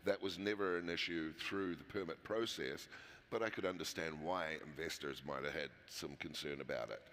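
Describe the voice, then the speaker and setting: Kiwi guy talking shop at a conference, deep voice, lots of um and uh, echoey hall. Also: normal speed, a bit bright. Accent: New Zealand. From New Zealand. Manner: conversational, many fillers and restarts. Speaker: mining company founder. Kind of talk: conference interview. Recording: long hall reverb.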